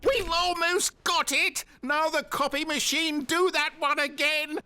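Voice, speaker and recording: An actor playing a pompous, fussy narrator in a video game, goading the player in theatrical, wordy fashion. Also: male voice, very high voice, fairly even intonation, a little slow, sharp tone, heavily acted. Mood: elated, urgent.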